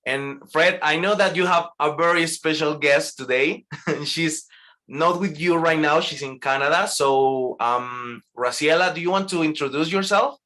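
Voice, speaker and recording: A man's voice: fairly high pitch, measured pace, sharp tone. Upbeat man from Colombia presenting. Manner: friendly, inviting questions. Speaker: segment presenter. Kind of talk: casual live show hosting.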